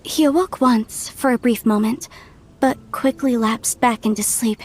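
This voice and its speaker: A woman voicing a gentle, courteous young nun and nurse in a fantasy game, composed and polite. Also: on the high side, measured pace, a little hiss or hum, close to an everyday voice. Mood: calm, courteous. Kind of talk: animated game voice acting.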